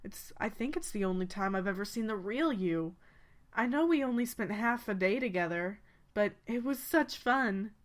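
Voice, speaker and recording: A woman playing a friendly young female pegasus knight in a game fan dub, with a gentle, familiar way of talking. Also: medium pitch, lively intonation, moderate pace, natural voice. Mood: tender, hopeful. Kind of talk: acted game dialogue.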